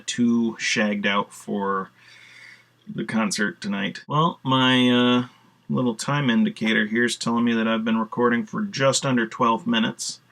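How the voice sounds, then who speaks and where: A man's voice: medium pitch, a bit bright. A man chatting casually on his video blog, rambling with lots of "uh" fillers and casual swearing. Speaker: video blogger. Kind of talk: casual solo vlog talk.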